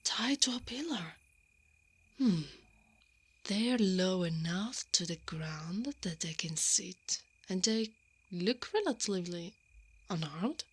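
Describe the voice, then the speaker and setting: Woman acting as a tough lady knight for asmr roleplay, breathy n unhurried, slips into whispers, dry sarcastic muttering. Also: on the low side, animated, exaggerated voice. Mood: hushed, calculating. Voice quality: breathy, often dropping to a whisper. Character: brave, sardonic female human knight, tough and determined.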